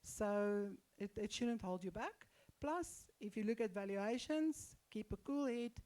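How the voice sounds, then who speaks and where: A woman panelist giving measured, explanatory remarks on investing in a panel discussion. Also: medium pitch, expressive, dark tone.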